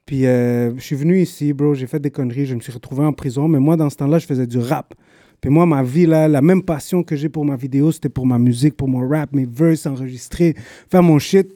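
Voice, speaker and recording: A man's voice: mid-range voice, a little fast, warm tone, no echo, clean studio sound. A man from Guatemala with a Quebec French accent, chatting casually as a guest and dropping English slang into his talk. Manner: laid-back street slang, mixes in English. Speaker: podcast guest, videographer. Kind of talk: casual chat.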